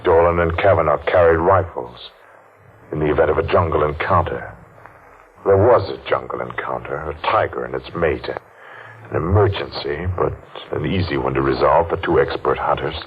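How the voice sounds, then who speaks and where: An actor playing a menacing masked man seeking revenge, taunting and deliberate, in 1940s radio acting style. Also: a bass voice, husky, full character voice. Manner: taunting, slow and deliberate, laughs mockingly. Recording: muffled old radio recording.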